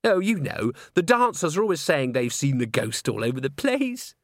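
A man's voice: fairly high pitch, big pitch swings, normal speed, dry recording, clean studio sound, pitched above the performer's own voice. A man voicing an airy, dismissive young woman in a storybook, with a breezy, condescending manner.

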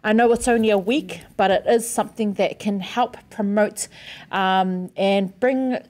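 A woman's voice: medium pitch, unhurried, a little mellow. A woman co-hosting a podcast, chatty and rambling, with many fillers. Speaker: podcast co-host. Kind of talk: casual podcast chat.

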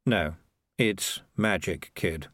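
A man voicing a cocky, menacing piper in a storybook, smug and threatening, with a slow, condescending drawl. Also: low-pitched, fairly bright tone, no echo, studio quality, pitched below the performer's own voice, exaggerated voice. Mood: cool, mocking.

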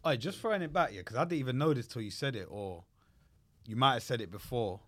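A man's voice: medium pitch, lively intonation, quick pace, fairly bright tone. A man, hosting a casual chat, blunt and slangy, often laughing. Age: 20s. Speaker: podcast host.

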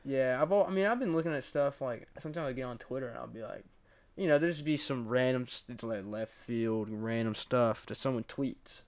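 A man's voice: mid-range voice, brisk, darkish tone. A young man co-hosting a casual podcast, laid-back and chatty, dropping "like" and "bro" often. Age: young adult. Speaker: podcast co-host. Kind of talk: casual chat.

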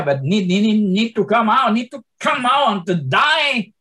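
A man's voice: fairly high pitch, fairly bright tone. Impassioned man in his sixties teaching scripture. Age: 60s. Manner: non-native English with grammar slips; impassioned, many stammered restarts. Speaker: Bible teacher. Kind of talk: informal Bible teaching to a small group.

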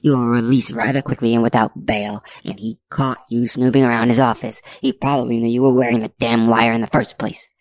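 A woman voicing a gruff, scolding male federal agent in an audiobook story. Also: a bass voice, steady tone, fairly quick, few pauses, a little mellow, dry recording. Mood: stern. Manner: stern and scolding.

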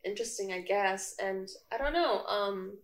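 A woman's voice: mid-range voice, normal speed. A young Indonesian woman student talking loosely and hesitantly about her life on a campus podcast taped in a roomy, echoing space. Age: young adult. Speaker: podcast guest, international student. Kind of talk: casual chat. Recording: roomy echo.

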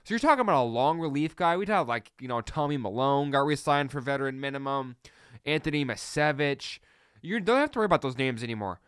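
An excitable man hosts his own podcast, rushing ahead and restarting sentences as his thoughts outpace his words. His voice is smooth and clear at a medium pitch. The sound is dry and close, with no room around it. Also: no room sound. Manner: fast, excitable, many restarts. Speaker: podcast host. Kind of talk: solo sports podcast talk.

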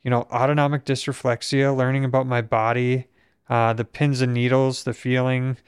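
A young man from Minnesota, hosting a casual conversation and speaking in a steady, reflective way, with a voice that is not very strong on breath. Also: mid-range voice, moderate pace, smooth, no echo, clean studio sound. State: weak breath support. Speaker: podcast host.

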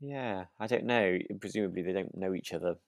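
A man's voice: deep voice, fairly quick, gravelly, dry recording. A man co-hosting a podcast, chatting casually in a hesitant, tentative way. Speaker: podcast co-host. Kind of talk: relaxed chat reviewing a radio drama.

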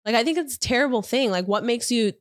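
A young woman co-hosting a casual podcast with her partner, animated and quick to laugh, whose first language was Spanish. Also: a little high, no echo, clean studio sound. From United States. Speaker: podcast co-host. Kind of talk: casual couple chat.

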